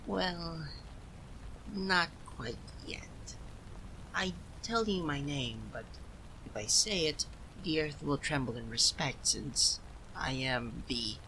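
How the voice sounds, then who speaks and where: A man's voice: medium pitch, pushed and bright, slight background noise, heavily acted, rough recording. A man playing a proud, easily indignant young forest deity cursed into cat form, in a theatrical ASMR roleplay addressed to the listener. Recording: rain sounds over the voice. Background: rain ambience.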